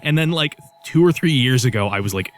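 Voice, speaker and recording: A man co-hosting a gaming let's play, chatting casually and telling rambling, animated asides. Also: mid-range voice, fairly quick. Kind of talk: casual chat over video game play.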